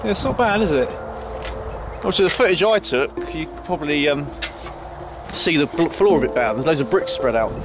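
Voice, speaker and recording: A man in his thirties talks his way around an old site outdoors, hesitant and chatty, full of fillers. His voice sits a bit high for a man, picked up on a handheld action camera with loud music playing over it. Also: animated, a little fast. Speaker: urban explorer vlogger. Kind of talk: casual walkaround commentary. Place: outdoors in overgrown grounds of an old tower. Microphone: action camera held at arm's length.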